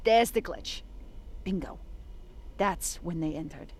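Tough, lowish-voiced police detective. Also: female voice, slow, darkish tone, a little hiss or hum. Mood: intent, focused. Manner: blunt, commanding, dry. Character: tough, wry, no-nonsense female police detective. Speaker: actor in an audio drama. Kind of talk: scripted audio drama acting.

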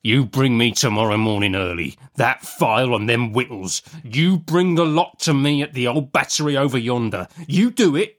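Storybook villain: male narrator as a rough, bullying convict, uneducated dialect, voice often pushed up in fierce threat. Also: mid-range voice, voice pushed up, lightly acted. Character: menacing, rough convict man threatening a child. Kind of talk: audiobook character acting.

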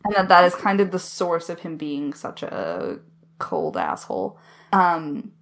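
A woman's voice: on the low side, unhurried, gravelly. Candid woman chatting casually about creative work. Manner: candid, animated, casually sweary. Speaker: podcast creator answering questions. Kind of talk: casual behind-the-scenes discussion.

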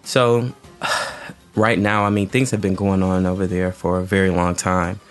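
A man's voice: deep voice, flat delivery, measured pace, faint music behind. A male host introducing and interviewing a guest in a friendly, conversational way. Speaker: show host. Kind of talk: interview hosting.

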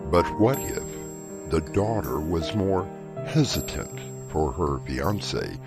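A man hosting a horror audio drama, narrating in a slow, dramatic, portentous way. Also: low-pitched, expressive. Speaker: host and narrator. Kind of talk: ominous horror anthology narration. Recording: music bed behind the voice.

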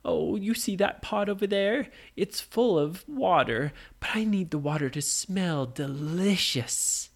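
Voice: medium pitch, lively intonation, a little slow, hardly any pauses, voice pushed up. A storyteller voicing a kindly, fussy old woman who lives alone, pleading for help in a bedtime story.

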